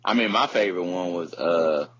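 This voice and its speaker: A man's voice: sits low, normal speed, a bit bright, slightly rough. A man co-hosting a podcast in casual, slangy chat, agreeable and often repeating himself. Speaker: podcast co-host. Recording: dull, slightly lo-fi microphone sound.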